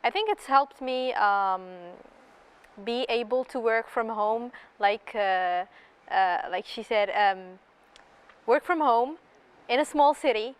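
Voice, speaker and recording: A woman's voice: fairly high pitch, slow, clean tone. A woman from Algeria giving thoughtful answers as a guest in a studio interview. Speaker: panel guest.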